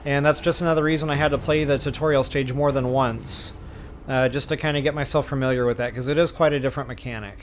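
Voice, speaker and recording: A man's voice: mid-range voice, fairly even intonation, fast, a little hiss or hum. A man hosting a video game walkthrough, giving casual commentary as he plays. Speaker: gaming walkthrough host. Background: game music.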